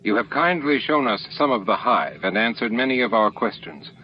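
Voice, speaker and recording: A man's voice: mid-range voice, very animated, moderate pace, close to an everyday voice. Man playing a composed, diplomatic station commander. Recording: dull lo-fi audio, faint noise.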